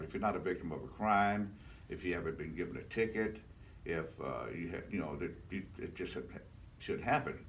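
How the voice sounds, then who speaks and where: A man's voice: low voice, distant mic, a little hiss or hum. An older man from Louisville, Kentucky, answering interview questions in a reflective, folksy way and repeating words for emphasis. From Louisville, Kentucky, United States. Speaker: retired charity founder, interview guest.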